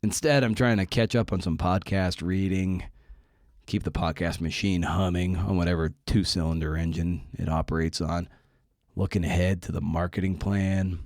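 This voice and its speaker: Man, lowish pitch, dry close recording, casual podcast talk, wry, self-deprecating. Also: fairly even intonation, normal speed, darkish tone, dry recording. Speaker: podcast host. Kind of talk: casual podcast monologue and interview.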